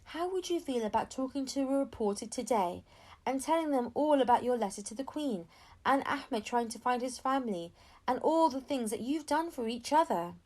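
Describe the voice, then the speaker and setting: A woman's voice: sits high, animated, moderate pace, darkish tone. A woman voicing a loving, decisive mother talking to her young child in a storybook. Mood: earnest, encouraging.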